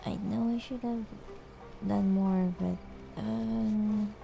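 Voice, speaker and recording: A woman streaming a game, chatting casually in a low-key, hesitant way. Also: fairly even intonation, very slow, vocal fry, warm tone, soft background music. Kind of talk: casual livestream chat.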